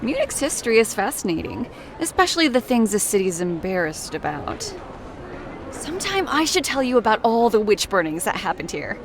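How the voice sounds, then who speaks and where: A woman's voice: a little high, very animated, normal speed. A woman voicing a playful, knowing psychology student and history buff in a video game, with a wry, teasing manner. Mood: knowing, curious. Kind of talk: video game character dialogue.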